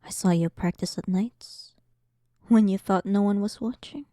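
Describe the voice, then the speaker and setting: A woman's voice: medium pitch, normal speed, dark tone, dry recording, clean studio sound, lightly acted. A woman voicing an arrogant, sarcastic demon queen in a roleplay audio drama, teasing and condescending, often dropping toward a whisper. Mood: taunting, amused.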